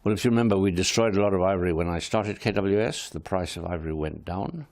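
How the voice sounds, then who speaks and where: Gravelly man. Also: low voice, lively intonation, darkish tone. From Kenya. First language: Swahili. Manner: frank, firm, measured statements. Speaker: interview guest, wildlife service head. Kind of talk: studio interview answers.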